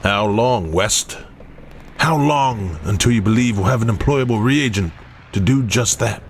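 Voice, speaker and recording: An actor playing an eager, ambitious army major awed by grim research, with theatrical, emphatic delivery in a horror audio drama. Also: male voice, on the low side, lively intonation, unhurried. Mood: fascinated, scheming. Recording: faint background noise.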